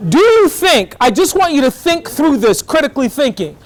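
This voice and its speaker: High-voiced animated male professor. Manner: animated, fast bursts, keeps checking in with the class. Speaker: guest lecturer, professor. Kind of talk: lively classroom lecture. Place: college classroom.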